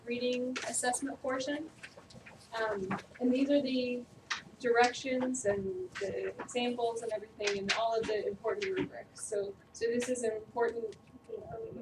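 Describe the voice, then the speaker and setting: A woman with a naturally quiet voice leading a staff training session, explaining steps casually with lots of fillers. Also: fairly high pitch. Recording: distant mic, noisy room.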